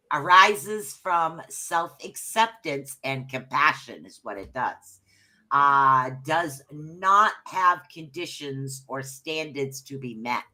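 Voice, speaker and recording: Low, slow-talking bright-voiced woman. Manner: chatty, loses her train of thought, reads haltingly. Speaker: podcast co-host. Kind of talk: casual podcast chat and reading notes aloud.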